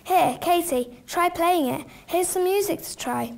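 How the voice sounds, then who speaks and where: A woman's voice: very high voice, a little slow, vocal fry, very noisy, heavily acted. A woman playing a keen, curious schoolgirl friend in a radio play.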